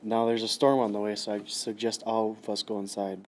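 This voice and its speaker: A man's voice: lowish voice, level tone, fairly quick, close to an everyday voice. A man playing a commanding wilderness officer who is secretly a cold killer, in an amateur radio play. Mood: urgent, commanding. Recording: noisy amateur recording with background ambience.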